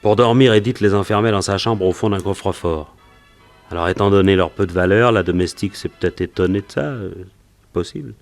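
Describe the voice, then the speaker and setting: A man's voice: sits low, brisk, faint music behind. An actor playing a wary, plain-spoken cowboy and gold prospector in a French radio detective drama, telling his story in colloquial speech. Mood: explaining, recalling.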